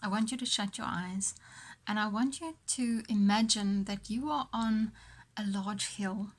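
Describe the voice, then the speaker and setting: A woman host leading a guided visualisation in a motivational coaching style, speaking deliberately with pauses and repeated phrases. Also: mid-range voice.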